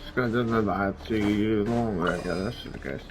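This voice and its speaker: A man's voice: lowish voice, fairly quick, background noise. A man doing casual gameplay commentary for an audience in a mumbly, rambling, self-deprecating way. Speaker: gamer playing for an audience.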